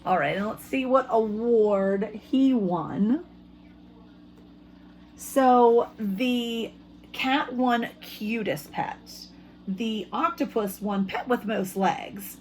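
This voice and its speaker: A woman speaking as an elementary school teacher, reading aloud to children in simple, expressive language and putting questions to them. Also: a little high, drawn-out words, slight background noise, poor audio.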